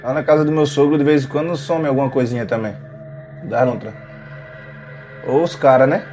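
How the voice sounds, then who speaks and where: A man's voice: mid-range voice, steady tone, a little fast, dark tone. A man with a Brazilian Portuguese accent in casual chat, talking in a slangy, joking way. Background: background music.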